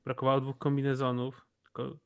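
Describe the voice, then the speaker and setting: A man's voice: mid-range voice, completely flat delivery, a little fast, a crowd in the background. A man playing a tabletop role-playing game, speaking casually in character.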